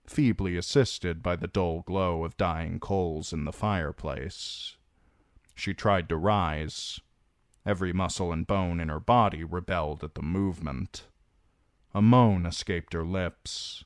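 A man reading a novel chapter aloud in a measured, steady storytelling voice. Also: sits low, dry recording, clean studio sound. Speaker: audiobook reader.

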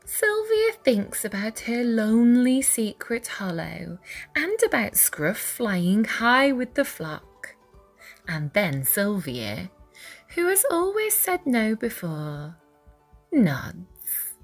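A woman reading a children's picture book aloud with deliberate, dramatic phrasing for young listeners. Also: fairly high pitch, wildly swooping pitch. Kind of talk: expressive read-aloud storytelling. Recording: music behind the voice. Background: music.

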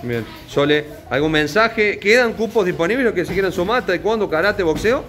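A man's voice: sits high, lively intonation, normal speed, fairly bright tone. Argentine male interviewer in a noisy gym. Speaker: sports TV interviewer. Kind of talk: casual on-location interview. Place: indoor martial arts gym. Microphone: handheld reporter microphone.

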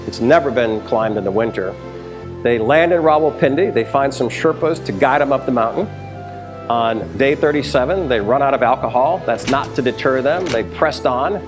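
A man in his fifties talks with animated energy, gesturing as he tells stories in a brewery taproom. His voice sits at an ordinary pitch and is picked up on a lapel mic, with faint music under it. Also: moderate pace. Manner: animated storytelling, hand gestures. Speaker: brewery chief executive. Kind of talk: sit-down interview.

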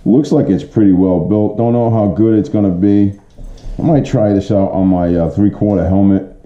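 A man's voice: lowish voice, fairly even intonation, moderate pace. A middle-aged man giving a casual, plain-spoken product walkthrough in informal, colloquial language, as a vlogger. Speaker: vlogger showing motorcycle gear. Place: home kitchen, indoors. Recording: muffled, boxy room echo, noticeable hiss.

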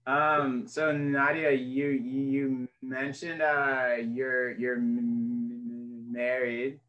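A young man hosting an interview over a video call, stuttering openly with repeated sounds, casual and relaxed. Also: mid-range voice, extremely slow, highs cut off. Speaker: podcast host. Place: small room indoors. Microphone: webcam mic on video call.

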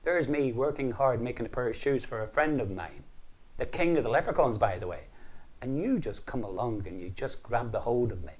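A man voicing a tiny male leprechaun shoemaker who scolds people, in a raised, higher voice. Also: steady tone, a little fast, few pauses, a little mellow, lightly acted. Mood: indignant.

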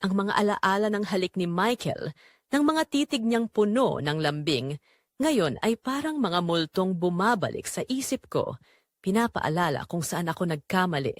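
Female storyteller for a romantic tragedy: emotive, sorrowful, highly melodic delivery, dry close sound. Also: very animated, normal speed, no room sound. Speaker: first-person story narrator. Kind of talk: dramatic scripted romance drama narration.

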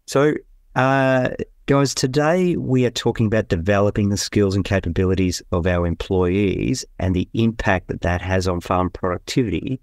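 A man's voice: fairly low pitch, normal speed, no room sound, clean studio sound. A man hosting a podcast interview, introducing guests and asking questions with frequent um and uh. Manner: many fillers and restarts while introducing guests. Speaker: podcast host.

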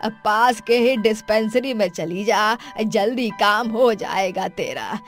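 A woman's voice: medium pitch, animated, slow pace, soft background music. A woman playing a dismissive middle-aged mother-in-law in an acted family story, with a colloquial, chiding way of talking. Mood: evasive. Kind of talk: acted dialogue for an animated moral story.

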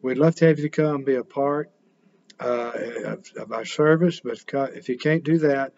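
An older man preaches earnestly into a webcam in a small room, his voice at a middling pitch. The sound is a bit dull and lo-fi, with plain fillers between phrases. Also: moderate pace, gravelly, darkish tone, a little hiss or hum. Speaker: pastor. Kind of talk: sermon. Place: small home room indoors.

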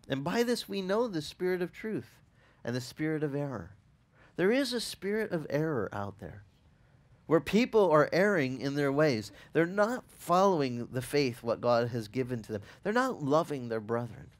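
A man in his forties, a pastor who grew up speaking Italian, teaching a casual sermon outdoors on a beach with a headset mic, asking his listeners questions as he goes. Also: a little high. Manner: animated, conversational, asks the listeners questions.

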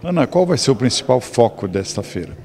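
A man's voice: medium pitch, very animated, fairly quick, dark tone. A man in his sixties with a Brazilian accent, interviewing effusively on a handheld mic at an event. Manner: effusive, full of superlatives. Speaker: TV show host and interviewer. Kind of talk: on-location interview. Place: wood-panelled event lounge. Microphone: handheld microphone.

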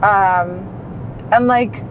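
Female road-trip vlogger, thirties, mid-pitched, talking casually inside a noisy moving car. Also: very slow. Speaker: vlog co-host, passenger. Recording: steady road and cabin noise.

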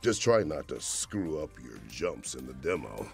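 A man with a gravelly voice co-hosting a games showcase in scripted style. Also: rumbling low, sing-song, measured pace, a little mellow, faint music behind. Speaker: showcase co-host. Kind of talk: scripted presenting.

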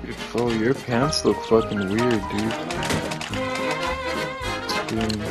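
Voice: mid-range voice, level tone, takes it slowly. A gamer chatting casually and swearing freely over game voice chat. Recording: game voice chat under loud music.

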